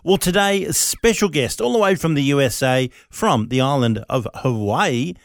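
A man's voice: medium pitch, lively intonation, normal speed, gravelly, dry recording, clean studio sound. A man hosting a radio interview in a chatty, friendly way. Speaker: radio show producer and stand-in host.

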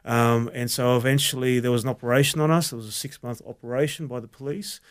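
An Australian man with a smooth, clear voice tells his story in an interview. He speaks earnestly at a middle pitch, halting and circling back as he searches for words. He is a pastor sharing his testimony. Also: fairly even intonation. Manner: earnest, halting, many restarts. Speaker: associate pastor, guest.

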